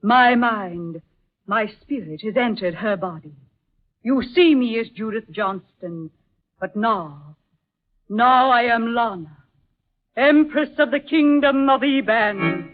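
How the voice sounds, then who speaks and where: Radio drama empress: otherworldly ancient ruler, regal and grave, formal delivery, narrow old-broadcast sound. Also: female voice, on the high side, slow pace. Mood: solemn, regal. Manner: solemn, stately, formal declamation. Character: regal ancient spirit empress possessing a young woman. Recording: muffled, narrow-band vintage radio recording.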